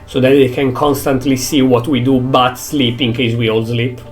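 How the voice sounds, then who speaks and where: A young man with an Italian accent, a native Italian speaker, thinking aloud in English with halting phrasing as he streams a game. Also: mid-range voice, flat delivery, faint music behind. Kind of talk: casual gameplay commentary.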